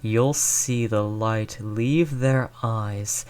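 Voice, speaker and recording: Someone doing a sadistic posh vampire seducer for roleplay audio, really slow n drawn out, purring and taunting, theatrical menace. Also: mid-range voice, darkish tone, clean tone. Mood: cold threat. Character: sadistic aristocratic vampire, silky predatory seducer. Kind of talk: scripted roleplay audio monologue.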